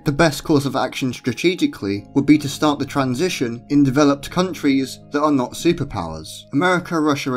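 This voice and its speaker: British man narrating an argued essay. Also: mid-range voice. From Britain. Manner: measured, argumentative. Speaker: channel host. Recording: voice-over with faint music underneath.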